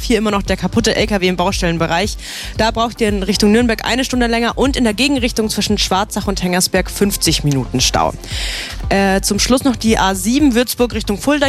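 A woman giving a chatty radio traffic report. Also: mid-range voice.

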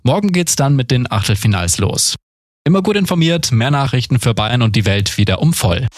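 A man's voice: medium pitch, dry recording. Man reading radio news, dry studio sound. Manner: steady, measured delivery. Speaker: newsreader. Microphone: studio microphone.

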